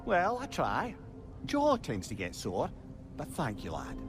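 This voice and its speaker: A very animated, fairly high voice of a witty old Scottish sage. He is avuncular, wry and eloquent, a born storyteller in theatrical game style, with music underneath. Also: measured pace, exaggerated voice. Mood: wistful, teasing. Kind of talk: theatrical video game acting.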